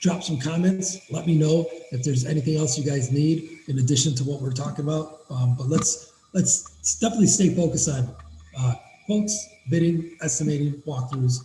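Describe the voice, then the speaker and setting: A man with a raspy voice, talking quickly and energetically as he walks viewers through a software demo on a screen share. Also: a little high, echoey room, a little hiss or hum, low-quality recording. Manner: fast, energetic, addresses viewers as guys. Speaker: cleaning business owner giving a software demo. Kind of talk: casual screen-share tutorial.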